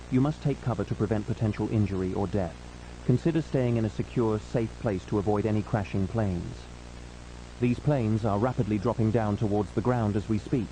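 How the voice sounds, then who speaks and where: Male emergency broadcast announcer, relaxed dark tone, solemn and formal scripted read, slightly noisy recording with hum. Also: fairly low pitch.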